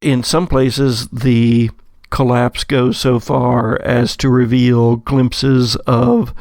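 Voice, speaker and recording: A man narrates a tabletop game as game master. His voice has a creaky, gravelly edge and he takes his time, pausing as he builds each description. The recording is close and dry. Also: mid-range voice, flat delivery, a little mellow, no room sound. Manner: measured, deliberate, hesitant pauses mid-phrase.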